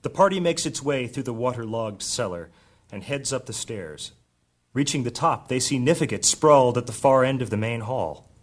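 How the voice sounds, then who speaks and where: A man's voice: medium pitch, lively intonation, normal speed. A man giving scripted, dramatic narration as the narrator of a fantasy audio drama. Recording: loud music behind the voice.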